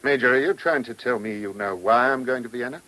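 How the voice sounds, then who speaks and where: A man's voice: medium pitch, brisk, bright, forceful tone, lightly acted, poor audio. A man with a British accent playing a dry, shrewd chief inspector in a radio drama, wry, clipped and questioning. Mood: guarded, dry. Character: dry, shrewd male police chief inspector, teasing superior. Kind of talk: mid-century British radio drama acting. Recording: old radio recording with faint hiss.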